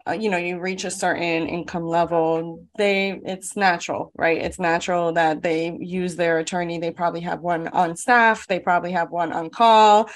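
A woman hosting a conversational interview, her voice sitting a little low for a woman. She talks in a chatty, affirming way, filling pauses with "um" and checking in with "right?". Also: fairly even intonation. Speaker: interview host.

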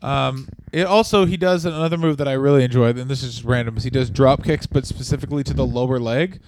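A man co-hosting a comedy podcast, talking in a deadpan, jokey way and swearing freely. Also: medium pitch, fast, slight background noise. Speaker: podcast co-host. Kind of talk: casual comedic chat.

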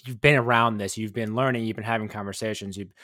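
A man's voice: on the low side, steady tone, fast talker, pushed and bright, dry recording, studio quality. A man hosting a conversational interview podcast, asking rambling, exploratory questions. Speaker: podcast host interviewing a guest.

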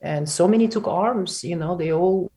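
A woman from Ukraine speaking as an interview guest, halting and emphatic with many restarts. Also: lowish voice, dark tone. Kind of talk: emotional conversational interview.

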